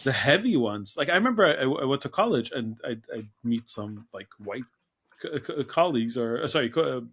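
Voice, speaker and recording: Man, medium pitch, native Arabic speaker, podcast co-host, casual, anecdotal. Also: expressive, fairly quick, a bit bright, slightly rough.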